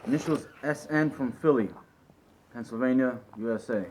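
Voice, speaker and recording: A man's voice: medium pitch, moderate pace, a little mellow, low-quality recording. Regular-voiced man, faint background hiss, reading out questions. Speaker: question reader.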